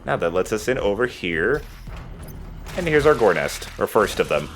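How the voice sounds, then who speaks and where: A man's voice: lowish voice, very animated, moderate pace. A man giving casual gameplay commentary as he plays, talking through it in offhand asides. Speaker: let's player playing the game. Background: video game music.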